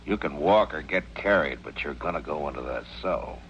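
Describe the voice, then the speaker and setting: A man's voice: a bass voice, animated, normal speed. A man acting a firm, unflappable American frontier marshal in an old radio western, plainspoken and dryly authoritative. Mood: brisk, firm. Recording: muffled old radio recording, loud hiss.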